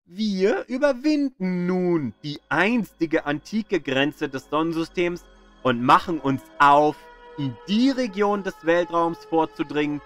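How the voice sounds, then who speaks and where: A man reading scripted educational narration in a measured, storytelling way with dramatic emphasis. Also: on the high side, fairly bright tone. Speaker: narrator of an audio tour of the solar system. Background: music.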